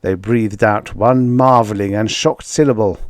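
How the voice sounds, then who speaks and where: A man with a fairly low voice narrates a science fiction audiobook. His delivery is measured and dramatic, leaning on key words for emphasis. The sound is dry and close, with little room in it. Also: dry recording. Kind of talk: scripted narration of vintage science fiction stories.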